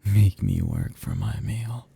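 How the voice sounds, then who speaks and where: A man's voice: fairly low pitch, wildly swooping pitch, takes it slowly, creaky, mellow, slightly rough, full character voice. A man playing a seductive, possessive vampire in an intimate ASMR roleplay, teasing and menacing, with archaic turns of phrase. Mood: predatory, teasing.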